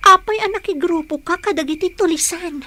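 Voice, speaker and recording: A woman acting an anxious, gentle young captive in an Ilocano radio drama, plaintive and earnest, with an Ilocano accent. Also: extremely high pitch, fairly quick, slight background noise. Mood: worried.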